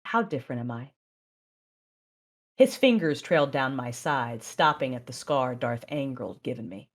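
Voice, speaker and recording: A woman acting as a controlled, proud Sith mother, narrating and speaking in a measured, intense way in an audio drama. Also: a bass voice, lively intonation. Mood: intimate, resolved. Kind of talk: first-person dramatic narration and dialogue.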